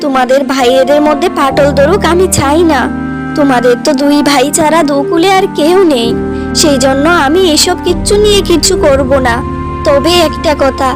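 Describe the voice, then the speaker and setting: A woman's voice: high voice, normal speed, over music, lightly acted. A woman voicing a dutiful, patient elder sister-in-law in a cartoon, speaking earnestly. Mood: reassuring, earnest. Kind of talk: cartoon drama acting.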